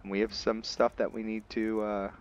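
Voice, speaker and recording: A man giving casual let's-play commentary and roleplay narration in a halting, musing way, often correcting himself. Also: lowish voice, flat delivery. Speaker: host of a roleplay gaming series.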